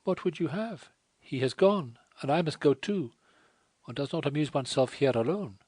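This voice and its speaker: A man voicing a tearful young French woman who pleads in a rapid, sighing way. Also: fairly high pitch, lively intonation, a little mellow, husky, dry recording, pitched above the performer's own voice. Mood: resigned.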